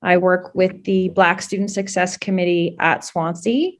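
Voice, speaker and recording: A woman's voice: lowish voice, level tone, a little slow. A woman in her forties, a political candidate, answering interview questions in an earnest, conversational way with many fillers.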